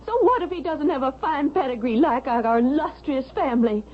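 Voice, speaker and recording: An actress playing an earnest young married daughter in a vintage radio drama, speaking emphatically. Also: female voice, high voice, animated, brisk. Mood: defiant. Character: earnest, defiant young married daughter, loyal to her husband. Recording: dull vintage radio recording.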